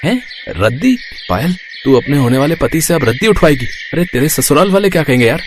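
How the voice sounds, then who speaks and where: A man playing a caring, teasing young elder brother in a dramatized family story, talking in an expressive, familiar way. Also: fairly high pitch, a little fast, slight background noise. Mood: playful, teasing.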